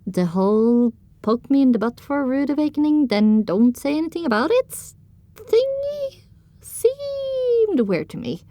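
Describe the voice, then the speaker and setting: A woman's voice: high voice, sing-song, a little slow, dark tone, no echo. A woman narrating an audio drama in the first person as a sensitive young pony filly, in a scripted storytelling style. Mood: wry, puzzled.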